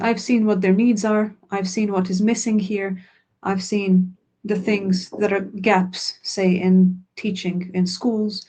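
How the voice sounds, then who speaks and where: A woman's voice: mid-range voice, warm tone. A woman whose first language is Croatian, a language teacher answering questions in a webinar in an earnest, plain way. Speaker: language teacher and product founder.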